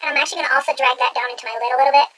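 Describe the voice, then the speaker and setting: A woman's voice: sits high, fairly even intonation, rapid-fire, fairly bright tone. A woman talking through a makeup tutorial at home, chatty and gushing as she gives step-by-step instructions. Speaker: beauty vlogger.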